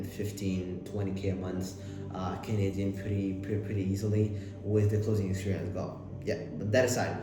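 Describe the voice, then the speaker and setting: A young man from Lebanon whose first language is Arabic, giving casual self-improvement advice to the camera, talking fast with many restarts and fillers. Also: on the low side. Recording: very echoey room with clear background noise.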